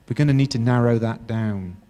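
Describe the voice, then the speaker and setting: British male facilitator, mellow, encouraging, halting delivery, faint mains hum. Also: mid-range voice, clear, resonant tone. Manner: encouraging, halting with many restarts. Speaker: workshop facilitator. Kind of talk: interactive workshop teaching. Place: university hall workshop.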